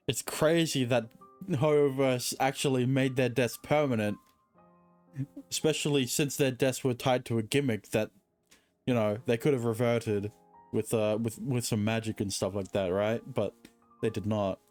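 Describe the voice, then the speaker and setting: A man's voice: mid-range voice, fairly even intonation, measured pace, a little mellow, soft background music. A man streaming a game, giving casual, offhand commentary as he plays. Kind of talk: casual game playthrough commentary, reading along.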